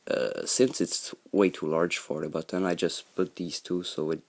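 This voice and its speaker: A man's voice: deep voice, steady tone, moderate pace, gravelly, a little mellow. A young man with a French Canadian accent, a native French speaker, hesitantly explaining a handmade project in a casual show-and-tell video, with many ums and restarts. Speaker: hobbyist video maker.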